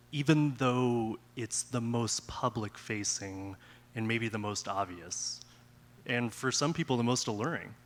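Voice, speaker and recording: A man who runs an artist management firm introduces himself to a workshop audience. He explains things in a measured way, his voice a bit low, on a handheld mic. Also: fairly bright tone. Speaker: artist management founder and panelist.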